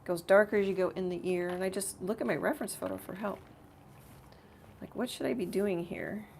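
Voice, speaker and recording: A woman with a slightly rough voice hosting a casual live painting tutorial, thinking aloud in a rambling way as she works. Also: mid-range voice, brisk, a little mellow, slight background noise. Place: home art studio.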